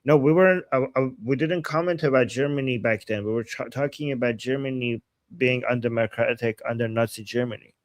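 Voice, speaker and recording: A man's voice: mid-range voice, dry recording. Chuckling man reading viewer chats, dry sound. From Iran. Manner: chuckling, halting, restarts sentences. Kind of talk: casual livestream chat.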